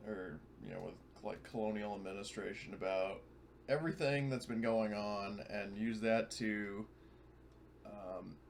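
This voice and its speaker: A man's voice: fairly low pitch, a little hiss or hum. A man chatting casually as a player in a tabletop roleplaying game.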